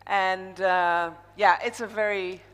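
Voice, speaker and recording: A woman's voice: medium pitch, fairly even intonation, slow, fairly bright tone, clear, resonant tone. A woman from the Netherlands with a Dutch accent, speaking informally as master of ceremonies in a live conference opening address. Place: large indoor conference hall, on stage. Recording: echoey large hall reverb over PA.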